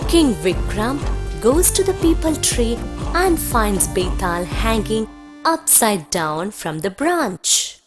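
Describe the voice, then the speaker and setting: A woman narrates a children's tale in a high, expressive voice. She takes it slowly, with clear music playing behind her. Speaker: story narrator. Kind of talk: scripted children's storytelling narration.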